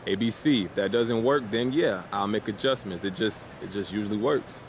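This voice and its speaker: An actor playing a cocky young American basketball player in a video game, talking in a breezy, slangy way. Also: male voice, fairly low pitch, moderate pace, a little hiss or hum, natural voice. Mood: self-assured. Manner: self-assured, breezy, slangy. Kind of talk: naturalistic game cutscene dialogue.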